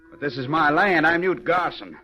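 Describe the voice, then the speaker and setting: An actor playing a slippery, bullying rancher villain with blustering, rough western talk in a 1940s radio western. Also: male voice, on the high side, lively intonation, moderate pace, faint music behind, close to an everyday voice. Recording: muffled vintage radio recording.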